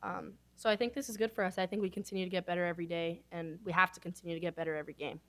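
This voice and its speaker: A young woman basketball player answering reporters at a postgame press conference, quick and relaxed with frequent fillers, into a table microphone. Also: on the low side. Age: young adult.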